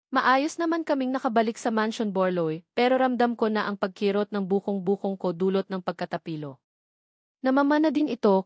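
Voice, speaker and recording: A woman's voice: mid-range voice, a little mellow, no echo. Woman narrating a story, close dry sound. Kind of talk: scripted first-person audiobook narration.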